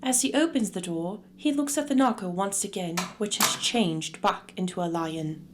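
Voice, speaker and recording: A woman's voice: mid-range voice, normal speed, background noise, natural voice. A woman playing the storyteller, narrating a classic tale as scripted radio drama. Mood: measured.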